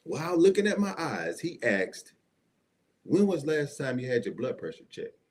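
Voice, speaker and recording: A man's voice: fairly high pitch, very animated, moderate pace, slight background noise. A man with a Southern US accent, an assistant pastor telling his personal testimony as an interview guest in a folksy, conversational way with frequent check-ins. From Mississippi, United States.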